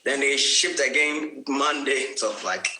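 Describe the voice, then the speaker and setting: A man's voice: medium pitch, unhurried, sharp tone, echoey room, rough recording. A man from Ghana, a guest evangelist on an online chat, speaking hesitantly with many fillers and restarts.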